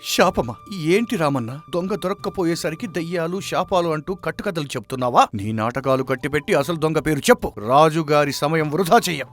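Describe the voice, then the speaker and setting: A man's voice: on the high side, lively intonation, brisk, few pauses, soft background music, close to an everyday voice. A man playing a stern, impatient authority at a royal court in a cartoon story, commanding and scornful. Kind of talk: animated cartoon story dialogue.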